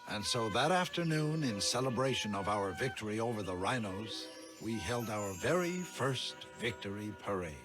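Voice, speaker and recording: A man playing a dignified, kindly father and ruler in a children's cartoon, speaking earnestly in measured, formal phrases. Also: lowish voice, lively intonation, close to an everyday voice. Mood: grave, reflective. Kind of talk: animated series voice acting. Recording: music under the voice.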